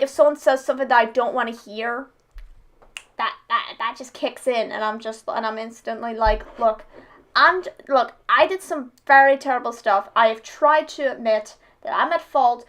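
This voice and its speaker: A woman with a fairly high voice gives casual personal commentary as a reaction host. She is hesitant and rambling, often repeating words as she goes. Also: normal speed, a bit bright.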